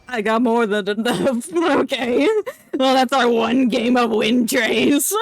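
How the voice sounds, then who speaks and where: A streamer chatting casually and playfully through live gameplay, excitable and often muttering to themselves. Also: mid-range voice, slow pace. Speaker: game streamer. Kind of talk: casual live gaming commentary. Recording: music behind the voice.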